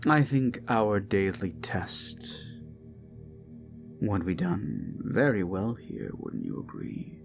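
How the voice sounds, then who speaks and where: Deep, slow, paranoid yandere prince, soft menace and whispers; gravelly, animated delivery. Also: male voice, exaggerated voice. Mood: commanding. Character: unhinged possessive young prince, paranoid. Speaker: voice actor. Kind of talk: audio roleplay drama. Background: faint dark music.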